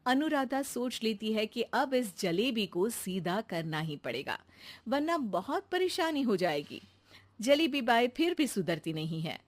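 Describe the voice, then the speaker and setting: A woman's voice: a little high, very animated, unhurried. A woman reading scripted narration for a comic bedtime story.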